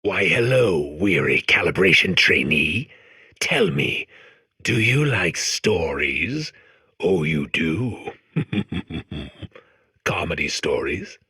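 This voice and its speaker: Very raspy, pompous horror storyteller robot. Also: male voice, sits low, sing-song, drawn-out words, dry recording, clean studio sound, full character voice. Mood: ominous, teasing. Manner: melodramatic, pompous, swinging from hush to shriek. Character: theatrical horror-storytelling machine core, grandiose and self-important. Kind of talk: comedic video game horror-host acting.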